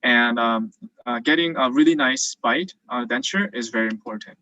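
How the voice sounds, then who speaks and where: A man's voice: mid-range voice, normal speed, sharp tone, no echo, highs cut off. A young man who grew up in South Korea and is now a dental student, explaining cases casually with lots of fillers over a video call. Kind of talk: virtual presentation and Q&A.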